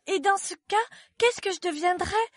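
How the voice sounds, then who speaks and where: A woman's voice: very high voice, moderate pace, no room sound. A woman voicing a bold, polite, inquisitive young storybook girl with a forthright manner. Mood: worried.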